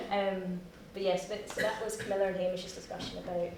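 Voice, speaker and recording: A woman's voice: mid-range voice, big pitch swings, normal speed, a little mellow. Scottish woman chatting in an echoey room. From Scotland. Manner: chatty, self-mocking, laughs often. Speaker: playwright. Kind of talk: post-show discussion. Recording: echoey room, distant mic, noisy.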